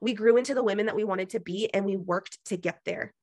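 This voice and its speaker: Woman, hoarse, preachy pep talk, repeats herself, video call. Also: mid-range voice, fast, darkish tone, no echo, studio quality. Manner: preachy, emphatic, repetitive. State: losing her voice. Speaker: business team leader.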